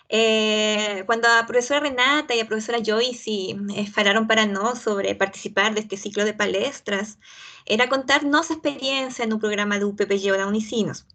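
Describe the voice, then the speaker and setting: A woman from Chile with a Chilean accent, a geologist giving an online talk in her second language, pausing and searching for words. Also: a little high, sharp tone. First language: Spanish. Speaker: guest speaker, geologist. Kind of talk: online lecture.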